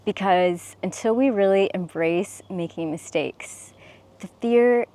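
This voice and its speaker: A woman's voice: medium pitch, takes it slowly, mellow. A young woman speaking to camera as a self-expression coach, earnest and encouraging, sometimes restarting her words.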